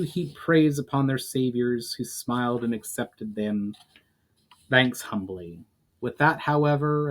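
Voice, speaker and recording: Man narrating a dramatic fantasy tale. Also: medium pitch. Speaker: author reading a book chapter aloud.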